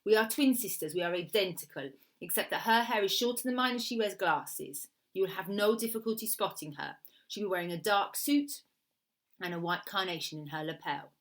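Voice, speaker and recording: A woman voicing a fragile, bitter Italian woman of thirty who hires a killer, with an Italian accent and formal, deliberate phrasing. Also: mid-range voice, expressive, lightly acted. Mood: assured.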